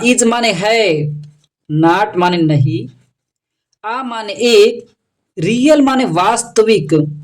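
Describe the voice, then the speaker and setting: A language teacher with an Indian accent and a medium-pitched voice speaks slowly and deliberately. The delivery goes word by word through a reading, repeating each term and its meaning for learners. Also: a little mellow. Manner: repetitive, deliberate explaining. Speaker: English teacher. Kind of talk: slow word-by-word reading lesson with translation.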